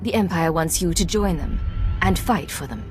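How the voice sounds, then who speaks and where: A woman playing a self-assured Imperial agent in a video game, measured and matter-of-fact. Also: fairly low pitch, faint music behind, natural voice. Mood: composed, assured. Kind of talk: video game cutscene acting.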